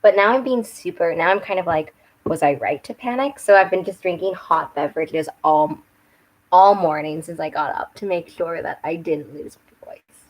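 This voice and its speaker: A young woman with a slightly hoarse voice, chatting casually and rambling a little on a home live stream while doing her makeup. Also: fairly low pitch, moderate pace, low-quality recording. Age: young adult. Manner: rambling, chatty, with restarts and self-corrections. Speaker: book and makeup channel host. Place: home room with bookshelves. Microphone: webcam.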